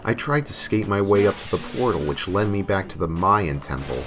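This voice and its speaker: A man with a low voice narrates a scripted horror story in the first person. He reads as a creepypasta narrator, with music clearly playing behind his voice. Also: animated, normal speed.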